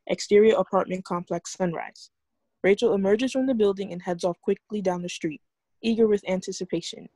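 A woman narrates stage directions from a film script at a table read. Her mid-pitched voice is mellow and dark, with a dry, close sound over a video call. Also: no room sound, rough recording.

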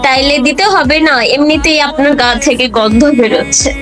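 A young Bangladeshi-accented woman with a high voice speaks in short, casual lines. Her manner is polite and pleading, like someone asking a favour. Music plays clearly behind her. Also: brisk. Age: young adult. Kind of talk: short casual prank voice clips asking game players for money. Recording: background music under the voice.

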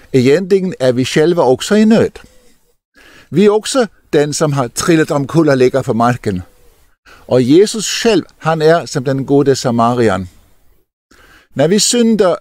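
An older man, a deacon, telling a Bible story to children outdoors in an expressive, lively way. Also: on the high side, no room sound. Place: outdoors in an open field.

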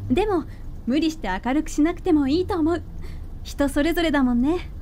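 A woman playing a cheerful, teasing young girlfriend in a radio drama, with a bright, girlish manner. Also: high-pitched, fairly quick, a little hiss or hum, close to an everyday voice. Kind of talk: Japanese radio drama acting.

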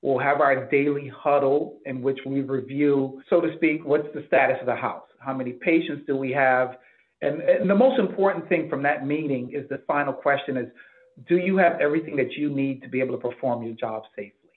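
A man's voice: medium pitch, a little fast. A middle-aged man from the Bahamas answering interview questions as a hospital executive over a video call. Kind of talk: interview answers about career. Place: office, indoors. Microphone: computer mic on a video call. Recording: thin, phone-like video call audio.

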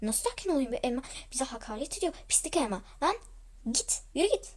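A woman's voice: sits high, big pitch swings, quick pace. A woman voicing an angry, protective father with a confrontational manner in a family roleplay story.

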